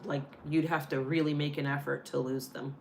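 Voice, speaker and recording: An older woman talks in a low voice, chatty and quick to laugh. She is co-hosting a relaxed live Q&A. Faint noise sits under the recording. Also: gravelly. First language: Spanish. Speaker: co-host. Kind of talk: casual live Q&A chat.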